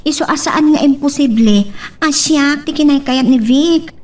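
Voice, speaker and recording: A woman's voice: high voice, moderate pace, a little mellow, far from the mic, close to an everyday voice. A woman with an Ilocano accent playing a gossipy village woman in an amateur audio drama. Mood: chatty, nosy.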